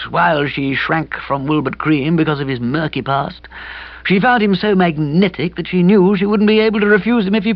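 A man voicing a dejected young gentleman in a comic audiobook, husky and plaintively explaining himself. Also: high voice, no room sound, dull audio, pitched above the performer's own voice. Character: dejected young gentleman friend confessing romantic woes.

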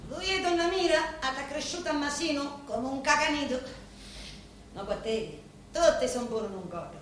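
An actress playing a proud, determined young Sicilian woman in a radio play, with a Sicilian accent and deliberate, dramatic delivery full of weighty pauses. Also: high voice, lively intonation. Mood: bitter, insistent. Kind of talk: theatrical monologue in a radio play. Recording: very echoey room, faint background noise.

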